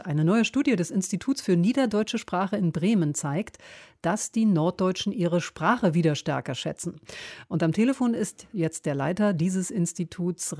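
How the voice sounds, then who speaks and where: A woman's voice: fairly low pitch, warm tone, dry recording. Berlin-accented woman hosting radio. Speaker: radio host. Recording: dry studio.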